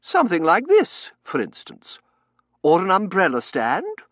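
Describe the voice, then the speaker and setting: A man's voice: high voice, huge pitch swings, measured pace, a bit bright, no room sound, studio quality, voice pushed up, heavily acted. A man voicing a chatty, managing society matron in an audiobook, fluting and self-assured. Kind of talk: audiobook character voice.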